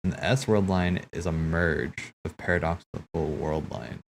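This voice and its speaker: A man streaming casual, rambling commentary on game cutscenes, thinking aloud in a halting way and repeating himself. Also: low voice, creaky, slight background noise.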